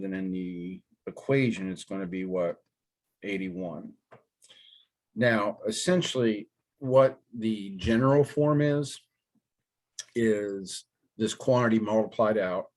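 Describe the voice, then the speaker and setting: A man teaching a math class, hoarse and raspy from a sore throat, walking through problems step by step with many ums and pauses. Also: low voice. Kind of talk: classroom lecture walkthrough.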